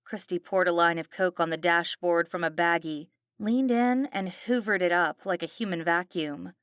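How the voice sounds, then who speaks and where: A woman's voice: lowish voice, moderate pace, a bit bright, clear, resonant tone, dry recording, clean studio sound. A woman reading a horror novel as a dramatic scripted audiobook narration.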